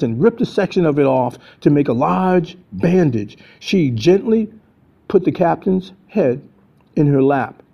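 A man's voice: fairly high pitch, gravelly, slightly rough. An American man in his seventies reads a story aloud as a storyteller, in dramatic first-person narration. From United States (New England). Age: 70s. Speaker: storyteller reading own book. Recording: dull lo-fi audio.

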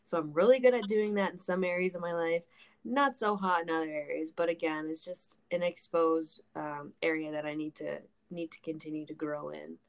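A woman's voice: sits low, fairly even intonation, normal speed, vocal fry. A woman in her mid-twenties chatting casually and candidly on a podcast, blunt and self-deprecating, swearing freely. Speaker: podcast co-host.

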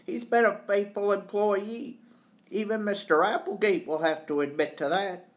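A man's voice: high-pitched, fairly even intonation, moderate pace, voice pushed up, natural voice. A man voicing a loyal teenage boy sleuth in a storybook, earnest and reassuring.

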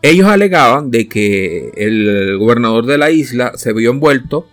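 A man who grew up in Venezuela, hosting a solo opinion podcast and talking it through off the cuff, pausing and restarting as he hunts for words. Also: mid-range voice, lively intonation, soft background music. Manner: unscripted and searching, with restarts and filler pauses. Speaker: podcast host.

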